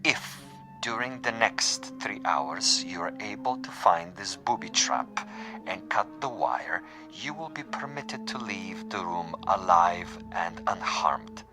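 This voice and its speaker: A man's voice: low voice, expressive, takes it slowly, music in the background. An actor playing an urbane, sadistic assassin who taunts his prey in formal, precise, theatrical phrases in a suspense radio drama. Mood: coolly menacing.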